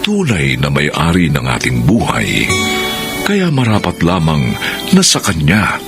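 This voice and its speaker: A man's voice: extremely low pitch, wildly swooping pitch, a little slow, over loud music. A man giving solemn scripted narration for a radio drama.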